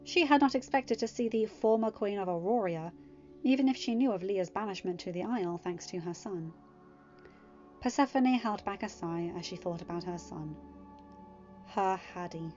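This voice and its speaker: A woman reads a fan fiction story aloud with expressive delivery. Her voice sits at an ordinary middle pitch. The recording is a little muffled, with quiet music playing underneath. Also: normal speed.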